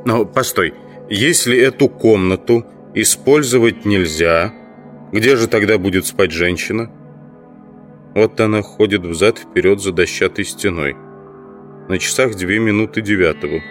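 An actor playing a questioning, brooding trapped scientist in an audio drama. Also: male voice, on the low side, a little slow, faint music behind, close to an everyday voice. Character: puzzled, brooding entomologist trapped in a sand pit.

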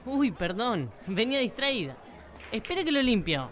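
A woman's voice: on the high side, big pitch swings, normal speed, a little hiss or hum. A woman playing a tough, nosy small-town narrator of a crime tale in a radio play, dramatic and hard-boiled. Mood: insistent. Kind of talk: live radio play acting.

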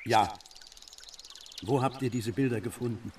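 An actor playing a stern, brooding master of court painters in a German radio play, speaking gravely and deliberately. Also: male voice, medium pitch, noisy background, close to an everyday voice.

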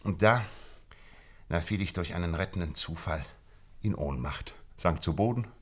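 A male actor playing a shrewd, self-assured gentleman detective in a German radio drama, setting things out eloquently and methodically. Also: deep voice, lively intonation, moderate pace, breathy edge. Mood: confident explaining. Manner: eloquent, methodical explaining with theatrical emphasis.

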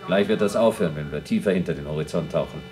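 A man's voice: sits low, quick pace, darkish tone, slight background noise, lightly acted. A man playing a bored, teasing astronaut on a moon base in a radio play, talking in a colloquial, needling way.

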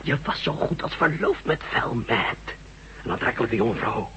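A man plays a badly wounded Russian official in a radio drama. His voice is tense and sharp, yet he labors for breath and speaks in halting, pleading bursts. A faint noise lies over the recording. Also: medium pitch, lively intonation, lightly acted. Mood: grave, urgent. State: weak, wounded, short of breath.

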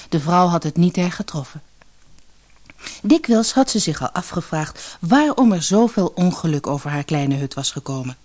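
Woman, audiobook narrator, scripted fairy tale, lowish pitch, animated intonation.

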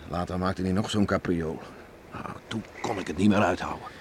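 A man's voice: deep voice, normal speed, natural voice. An actor playing an ageing, plain-spoken island farmer father in a Dutch radio drama, musing and concerned. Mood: musing, vivid. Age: older. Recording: noisy old radio play recording.

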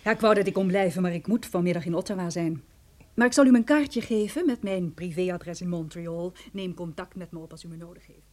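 A woman's voice: on the low side, brisk, darkish tone, lightly acted. An actress in a Dutch radio play playing a helpful professional woman with a polite, businesslike manner. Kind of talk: Dutch radio drama acting.

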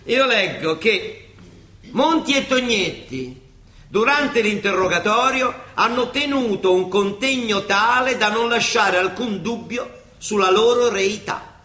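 Roomy sound, elderly Roman clergyman, high bright voice, rambling, ironic, quietly defiant, radio play. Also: lively intonation, exaggerated voice. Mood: sardonic. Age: older. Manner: halting, ironic, colloquial, argumentative. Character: old Roman monsignor judge, wry, rambling, quietly defiant. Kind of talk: stage-style radio theatre acting.

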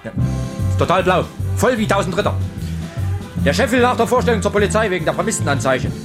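An actor playing a harried, sardonic circus stage manager in a radio drama, talking in a wry, colloquial way. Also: male voice, high-pitched, lively intonation, quick pace, music in the background. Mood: wry, weary. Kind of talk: 1980s radio drama dialogue.

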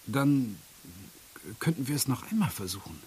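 An actor playing an eager, upbeat dead man in an old radio play, with an animated manner. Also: mid-range voice, normal speed, close to an everyday voice. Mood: tentative. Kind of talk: 1980s German radio play acting. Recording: noisy, hissy early-1980s radio recording.

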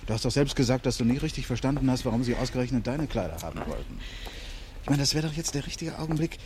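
A man's voice: medium pitch, animated, quick pace, darkish tone, natural voice. An actor playing an easygoing, affectionate man in a 1970s radio crime drama, teasing and conversational. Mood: tender teasing. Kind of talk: 1970s German radio play acting. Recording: noisy vintage radio drama recording.

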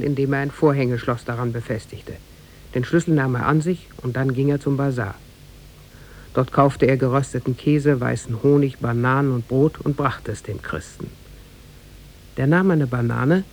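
Casting: male narrator, mid-pitched with a gravelly edge, formal storytelling delivery, vintage radio drama. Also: steady tone. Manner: measured, formal.

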